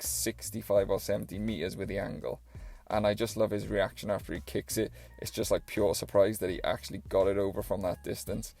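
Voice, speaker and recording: A man's voice: fairly low pitch, mellow. A young Welsh man narrating a documentary in a casual storytelling way, with personal asides. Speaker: documentary narrator and channel host.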